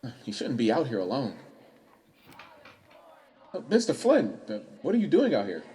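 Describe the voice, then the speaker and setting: An actor playing a hapless, self-doubting, polite young stage actor in a radio play, with a hesitant, stammering manner. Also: male voice, medium pitch, wildly swooping pitch, moderate pace, mellow. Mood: puzzled.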